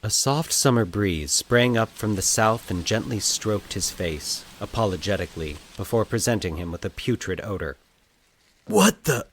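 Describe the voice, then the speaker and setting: A man narrating a scripted fiction story in a measured, dramatic storytelling manner. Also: fairly low pitch, slight background noise, poor audio.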